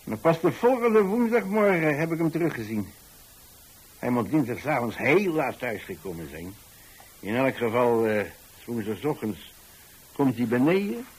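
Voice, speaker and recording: Husky, rambling old landlord. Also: male voice, mid-range voice, very animated, normal speed, low-quality recording. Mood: wry, chatty. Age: older. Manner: folksy, hesitant, repeats himself. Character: rambling, tolerant retired landlord telling of his lodgers. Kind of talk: radio drama monologue, colloquial storytelling.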